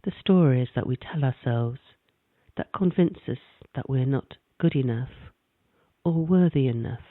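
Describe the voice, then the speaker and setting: A man gently narrating a guided meditation in a calm, measured way. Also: medium pitch, no room sound. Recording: dry, tinny phone-like sound.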